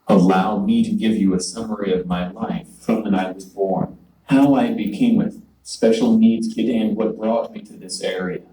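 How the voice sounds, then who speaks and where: A computer-generated man's voice giving a prepared conference speech in a measured, formal way. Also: on the low side, reverberant, distant mic, a little hiss or hum, rough recording. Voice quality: synthetic, even device voice. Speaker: conference speaker.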